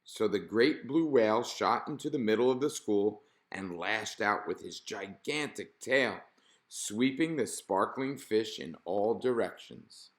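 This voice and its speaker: Male storybook narrator, slightly low register, reading aloud for children. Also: lively intonation, unhurried. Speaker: storybook reader.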